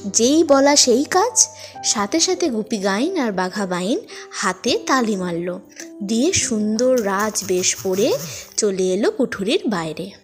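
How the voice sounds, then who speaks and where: A woman narrating a scripted story as host, in an expressive storytelling style. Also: mid-range voice, slow. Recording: music under the voice. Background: background music.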